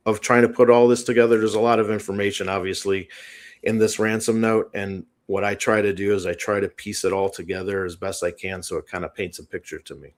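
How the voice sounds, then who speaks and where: A man's voice: fairly low pitch, little pitch movement, brisk. A man guest on an interview show, explaining a written analysis in a tentative, thinking-aloud way.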